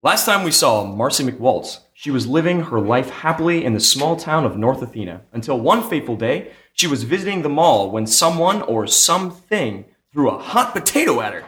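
A man with a bright, lively, swooping voice narrates a spoof radio serial live. He is theatrical and slips into exasperated asides, with noticeable room sound around him. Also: medium pitch, normal speed, few pauses, roomy sound. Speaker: sketch narrator. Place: comic shop, live before an audience.

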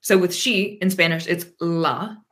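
A woman's voice: fairly low pitch, a little slow, fairly bright tone. A woman in her thirties from Pennsylvania, a guest in a casual interview, talking thoughtfully with many ums and restarts. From Pennsylvania, USA.

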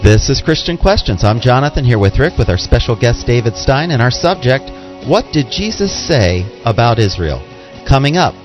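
A man's voice: medium pitch, muffled recording. A man co-hosting a religious radio show, reading scripture and scripted announcements. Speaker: radio co-host and scripture reader.

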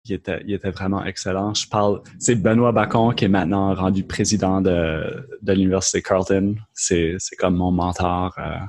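A man with an Acadian French accent, fairly low-voiced, talks through his story with frequent restarts and fillers. He sounds earnest and conversational, like a guest on a casual interview podcast. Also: flat delivery, moderate pace, hardly any pauses, darkish tone. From Moncton, New Brunswick, Canada.

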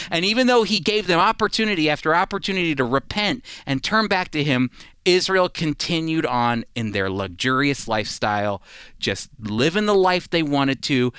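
A man delivers a radio sermon in an emphatic preaching style, leaning on repeated phrases and falling low for weight. His voice is smooth and fairly high. The sound is close and dry. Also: fairly bright tone, no echo. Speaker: radio Bible teacher.

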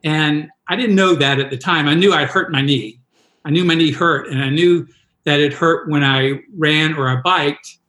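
A man's voice: a little high, moderate pace, bright, forceful tone, roomy sound. A man in his sixties, a triathlon coach, telling a self-deprecating story with many restarts in a podcast interview. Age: 60s.

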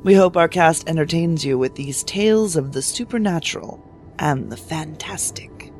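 A woman announcer giving dramatic scripted narration in a deliberate, mysterious storytelling manner. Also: low voice, darkish tone. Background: music.

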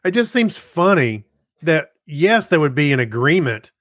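A man's voice: on the high side, big pitch swings, measured pace, a bit bright, dry recording, studio quality. A man co-hosting a podcast, reading news and bantering in a loose, jokey, amused manner. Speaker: podcast co-host.

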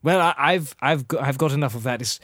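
A man's voice: mid-range voice, brisk, a bit bright, no echo, close to an everyday voice. A man playing a swaggering, rum-loving pirate captain from the movies, with a nasal voice and rambling, theatrical phrasing. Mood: greedy, evasive. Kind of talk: improvised pirate impression.

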